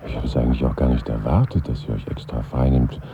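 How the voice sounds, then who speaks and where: An actor in a German radio play voicing a bitter, weary, anxious dead man at his own funeral, gravelly and brooding. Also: a bass voice, fairly even intonation, quick pace. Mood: gently grateful. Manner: brooding monologue to absent listeners. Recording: muffled phone-like sound, strong background noise.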